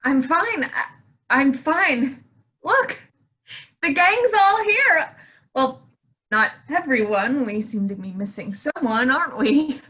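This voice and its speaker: A woman's voice: high voice, lively intonation, takes it slowly, bright, forceful tone, exaggerated voice. A woman acting a grief-stricken, unhinged wife in a staged play reading. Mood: brittle, fragile. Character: grief-stricken, unhinged wife clinging to stolen baby deer.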